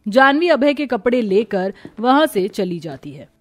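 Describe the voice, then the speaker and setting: A woman's voice: on the high side, very animated, a little slow, no room sound. A woman narrating a scripted moral story.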